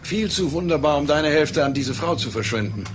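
A man's voice: on the high side, expressive, fairly quick, over music, natural voice. A man playing a cultured, scornful older uncle in a German crime audio drama, formal and condescending in his delivery. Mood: scheming.

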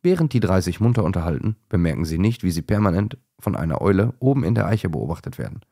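A man's voice: low voice, sing-song, quick pace, gravelly, dark tone, no room sound, clean studio sound. A man narrating a scripted children's bedtime story.

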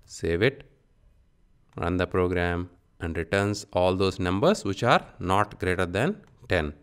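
Deep-voiced man teaching programming step by step. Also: lively intonation, measured pace, clear, resonant tone. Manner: instructional, clipped short phrases. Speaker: programming instructor. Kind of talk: step-by-step software tutorial, dictating as typing.

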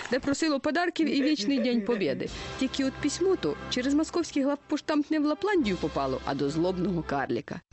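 A man with a high voice narrates a satirical cartoon story. His delivery is quick and colloquial, mocking the fairy-tale form with irony, and music plays behind him.

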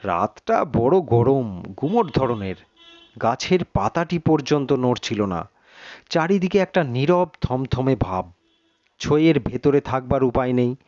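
A man reading a suspense story in a measured, literary, dramatic narration. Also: mid-range voice, sing-song, a little mellow, no room sound, dull audio.

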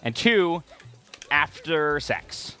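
A man's voice: on the high side, lively intonation, drawn-out words, bright, forceful tone, faint music behind. A young man co-hosting a gaming show with casual, goofy chat, often repeating words as he goes. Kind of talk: casual joking chat over video game play.

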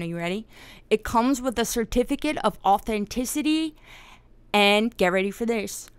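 Swearing, animated woman host. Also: medium pitch, clean tone, no echo. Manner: animated, swears often, laughs while telling story. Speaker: podcast host. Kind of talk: casual live-stream discussion.

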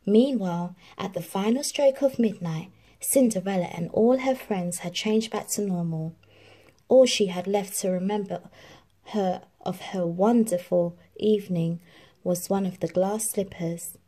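A woman reading a children's storybook aloud in an expressive, animated narrating style. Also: mid-range voice, a little slow. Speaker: storybook reader.

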